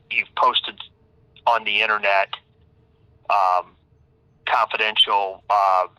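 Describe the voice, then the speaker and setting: A man's voice: on the low side, bright, forceful tone, no room sound. A man leaving an informal voicemail as an attorney, stammering and repeating words, recorded over a telephone line. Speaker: public defender attorney.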